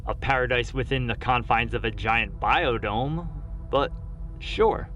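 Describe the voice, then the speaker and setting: An actor playing a sardonic space scientist in an audio drama, dry and deliberate. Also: male voice, medium pitch, a bit bright, slight background noise, close to an everyday voice. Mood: dry, sarcastic. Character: sardonic male space scientist on a corporate station.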